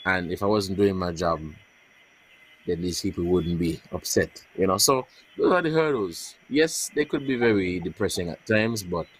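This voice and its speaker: A man, a journalist answering interview questions in a measured way, often repeating words as he searches for them. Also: low-pitched, slightly rough, rough recording. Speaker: journalist guest.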